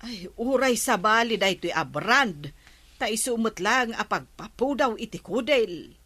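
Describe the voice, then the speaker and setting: A woman's voice: on the high side, animated, unhurried, sharp tone, full character voice. An actress playing a vain village woman obsessed with whitening her skin in a radio comedy drama, with an Ilocano accent. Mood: unbothered, insistent.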